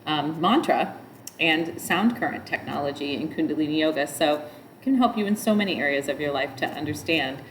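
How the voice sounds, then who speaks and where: A middle-aged woman with a nasal voice sits somewhere a little low in pitch, teaching a yoga class. She explains at a loose, meandering pace, trailing into asides. A faint hiss runs under the recording. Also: moderate pace, poor audio. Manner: chants mantras in a nasal sung tone between explanations. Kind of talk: spoken yoga instruction.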